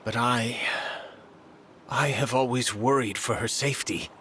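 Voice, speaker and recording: An actor playing a stern, dutiful knight in a video game, formal and solemn in delivery. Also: male voice, mid-range voice, steady tone, unhurried, fairly bright tone, a little hiss or hum, natural voice. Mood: somber worry. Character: grave, dutiful male knight, loyal and stern. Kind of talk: video game cutscene voice acting.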